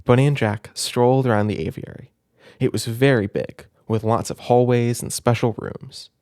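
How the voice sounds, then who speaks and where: A young man doing gentle, affectionate ASMR roleplay, speaking softly and simply to a listener as if reading aloud at bedtime. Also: medium pitch, steady tone, moderate pace, dry recording, studio quality. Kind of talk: soft intimate ASMR roleplay and storybook reading. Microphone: close microphone in a dry space.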